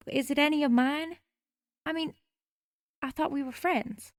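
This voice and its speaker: A woman's voice: high-pitched, fairly even intonation, measured pace, dry recording, studio quality. An actress playing a curious young woman who teases and probes her friend in a radio play. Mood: teasing. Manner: teasing and probing. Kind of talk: radio drama acting.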